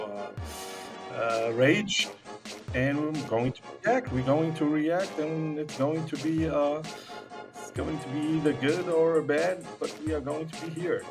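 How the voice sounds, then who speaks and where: Halting man, off-mic podcast voice. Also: mid-range voice, far from the mic. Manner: halting, many fillers and restarts, blunt. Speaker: podcast co-host. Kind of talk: casual podcast chat.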